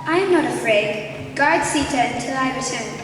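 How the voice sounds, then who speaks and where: High-voiced female playing a noble young royal. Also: lively intonation, normal speed, over loud music. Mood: earnest. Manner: emphatic, earnest declaiming. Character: noble young royal of Indian epic, defiant, anguished. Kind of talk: declaimed school stage play. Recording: echoey noisy gym, far from mic.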